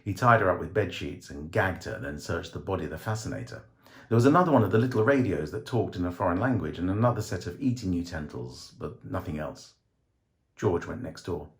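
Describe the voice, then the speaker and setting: A man's voice: low-pitched, expressive, brisk. A man reading a short story aloud as a dramatic scripted narration.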